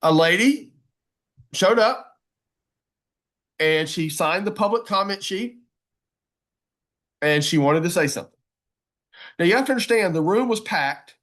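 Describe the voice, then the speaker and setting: A man speaks in a fairly high register. He presents like an advocate rallying a group, folksy in his wording and emphatic, restating key phrases to drive them home. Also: lively intonation, unhurried, long pauses, fairly bright tone. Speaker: advocacy speaker giving a webinar talk.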